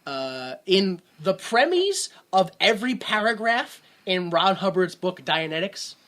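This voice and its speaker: Bright-voiced man rambling sarcastically. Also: sits high, very animated. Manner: rambling, sarcastic, quick asides. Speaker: podcast co-host. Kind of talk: casual movie podcast chat.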